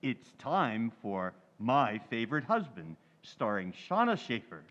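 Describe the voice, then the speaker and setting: A man's voice: mid-range voice, sing-song, a little slow, fairly bright tone, highs cut off. A man announcing in scripted old-time radio style, with a showy, upbeat presentation.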